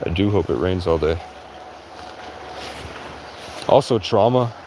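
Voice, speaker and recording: A sleep-deprived man livestreaming outdoors in a rural area, rambling in a halting way with many restarts. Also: deep voice, expressive, mellow, a little hiss or hum, poor audio. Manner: halting, restarts often, heavy use of "like". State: sleep-deprived after hours of talking. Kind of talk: rambling casual monologue.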